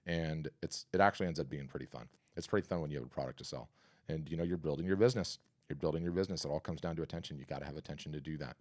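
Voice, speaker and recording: A man hosting a live art business workshop and answering questions, talking in an energetic, conversational, salesy way with a scratchy, hoarse voice. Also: extremely low pitch, racing. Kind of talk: live webinar talk and Q&A.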